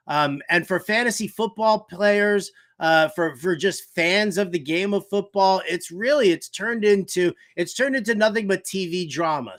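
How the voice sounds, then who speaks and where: A man's voice: sits high, a bit bright. A man hosting a sports talk show, giving casual comedic commentary in a joking, theatrical way with emphatic repetition. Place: shed used as home studio.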